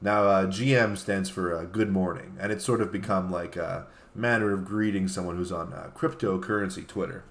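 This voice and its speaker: A man co-hosting a casual comedy talk show, phrasing things in a halting, deliberate way. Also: low voice, steady tone, fairly bright tone. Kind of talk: casual comedic chat, reading out tweets.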